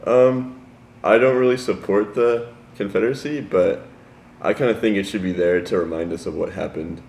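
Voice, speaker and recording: Deep gravelly young man, laid-back. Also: steady tone, normal speed, a little mellow, roomy sound, a little hiss or hum. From rural Virginia. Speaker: interviewee. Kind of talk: casual street interview.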